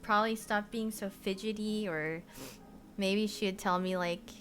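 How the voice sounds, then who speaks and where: A young woman born in Korea, chatting casually to stream viewers from her bedroom, rambling with lots of "like" and "you know" and trailing off softly. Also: medium pitch, steady tone, a little slow, faint music behind. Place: bedroom at home.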